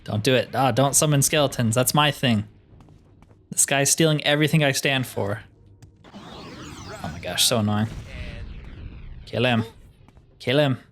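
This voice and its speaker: A man's voice: medium pitch, unhurried, pauses often, a bit bright, slight background noise. A man giving casual let's play commentary, thinking aloud as he plays and grumbling wryly. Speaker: gaming video host.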